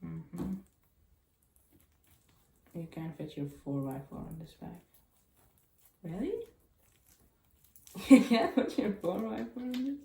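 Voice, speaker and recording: A woman whose first language is Chinese, chatting casually and haltingly through a cube unboxing with small grammar slips. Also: mid-range voice, sing-song, creaky, dark tone. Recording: echoey room with clicking noise.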